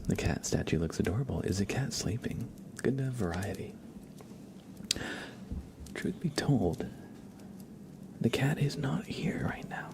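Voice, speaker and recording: A man hosting a live ASMR stream in a soft, often whispered voice, chatting informally in loose, hesitant phrases. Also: mid-range voice, lively intonation, measured pace, pauses often. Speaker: ASMR livestream host. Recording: clear background ambient noise.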